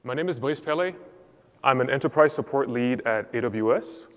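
A man from Cameroon giving a technical conference talk as a support lead, explaining step by step in a measured way. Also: medium pitch. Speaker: enterprise support lead presenting. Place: large conference hall. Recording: reverberant hall.

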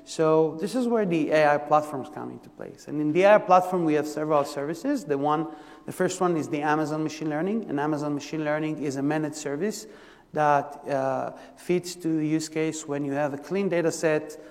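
A man from Israel with an Israeli accent, giving a conference tech talk in a halting way, full of fillers and restarts. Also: on the high side, darkish tone. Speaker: technical presenter. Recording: long reverb of a lecture hall.

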